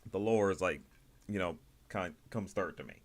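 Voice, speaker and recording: A man hosting a livestream, giving casual, rambling commentary in a halting way, often repeating and rephrasing himself. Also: deep voice. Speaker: livestream host reviewing indie animation.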